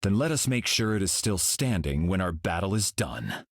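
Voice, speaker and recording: An actor voicing a serene, solemn golden cosmic hero for a video game, speaking in a calm, measured, grave way. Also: male voice, low voice, no echo, studio quality, full character voice.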